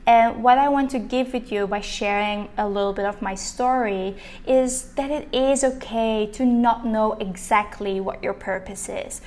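A woman's voice: on the high side, steady tone, a little slow, hardly any pauses. Chatty woman hosting a podcast. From Belgium. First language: Dutch. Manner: warm, chatty and encouraging. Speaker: podcast host, business coach. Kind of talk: solo podcast monologue.

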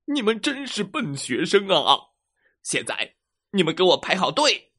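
A grumpy bear teacher who lectures with slow, heavy deliberation, as a man puts him on for a children's storybook. He sighs and scolds his slow pupils, his exasperation never far away. The delivery stays at a measured, unhurried pace. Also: mid-range voice, animated. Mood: angry.

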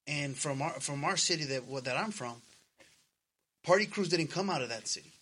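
A man's voice: fairly high pitch, lively intonation, normal speed, fairly bright tone, slightly rough. A man in his thirties chatting casually as a podcast co-host, earnest and slangy, swearing freely. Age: 30s. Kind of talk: casual personal chat.

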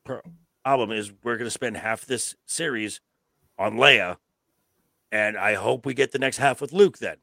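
A man with a creaky, gravelly voice gives opinions in a casual podcast chat. He speaks emphatically but haltingly, often repeating words. The sound is dry and close. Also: medium pitch, pushed and bright, dry recording. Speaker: podcast co-host.

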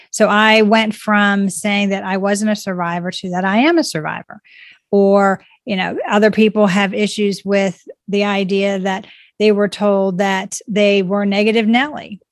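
A woman talks earnestly and encouragingly about growth, speaking in positive affirmations. Her voice sits at an ordinary middle pitch. The recording is dry and close, with no room sound. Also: steady tone, measured pace, dry recording. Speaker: guest speaking on self-growth. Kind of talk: reflective conversation.

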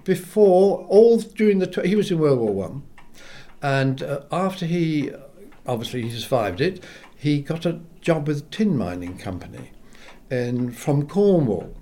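An older man, born in England, reminiscing in an interview as a guest composer, rambling and animated, acting out quoted voices. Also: fairly high pitch, measured pace, a little hiss or hum.

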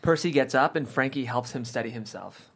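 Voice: mid-range voice, fairly quick, fairly bright tone, husky. A narrator reading screenplay stage directions and scene headings aloud at a table read.

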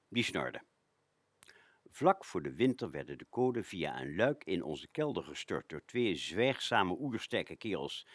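A man's voice: sits low, no echo, studio quality, heavily acted. An actor playing a nostalgic older man recalling a frugal childhood, musing expressively and colloquially in a radio play. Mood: fond nostalgia.

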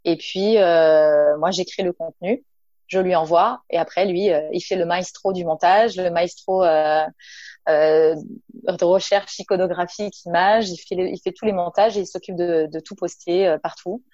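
A woman's voice: on the low side, fairly even intonation, moderate pace, warm tone, no room sound, lo-fi sound. A woman from Nice, a naturopath and entrepreneur, talking casually in an interview with frequent hesitations and an informal tone. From Nice, south of France. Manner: chatty, many fillers, addresses listener informally.

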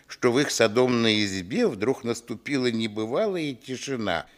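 A man's voice: mid-range voice, normal speed, lightly acted. An actor playing a grown man fondly recalling his village boyhood, narrating a literary radio play expressively. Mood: wry, fond. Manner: expressive storytelling.

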